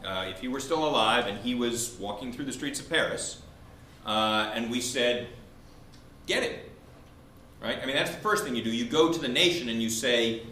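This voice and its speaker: Guy law prof debating, sharp bright voice, argues point by point, roomy echo. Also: medium pitch, normal speed. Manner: argumentative, explanatory, poses and answers own questions. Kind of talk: spoken legal debate. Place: indoor room at a law school event. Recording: roomy echo with faint background noise.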